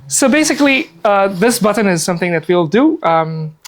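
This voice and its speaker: A man's voice: sits high, very animated, moderate pace, slight background noise. A man in his forties from Afghanistan giving a casual live workshop presentation in a meeting room. He talks in a chatty, upbeat way with many fillers, seated at a laptop and recorded by a camera across the room.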